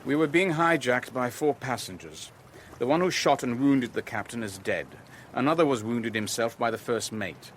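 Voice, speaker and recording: A man's voice: medium pitch, animated, moderate pace, slight background noise, lightly acted. A man acting in a radio drama as a sardonic, defensive businessman and shipowner who is under attack. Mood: measured, tense.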